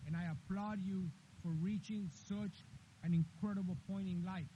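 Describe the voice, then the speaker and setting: A man's voice: high voice, fairly even intonation, normal speed, dark tone. A man from Honduras giving an earnest commencement speech with repeated emphatic phrases. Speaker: commencement speaker. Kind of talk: graduation speech. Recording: dull lo-fi with faint hiss.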